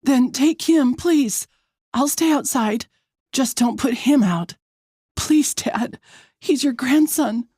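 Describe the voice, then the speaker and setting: A woman's voice: high voice, lively intonation, slow pace, warm tone, husky, dry recording, studio quality, voice pushed up, exaggerated voice. A woman voicing a desperate young mother in an audiobook, begging and pleading for her baby son.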